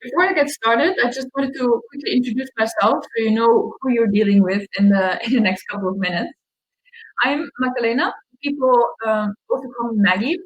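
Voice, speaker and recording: A woman's voice: on the high side, a little fast, rough recording. A young woman from Austria with an Austrian accent, giving a friendly online presentation about her company's mapping tools. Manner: friendly, explanatory, with fillers. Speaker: customer success manager.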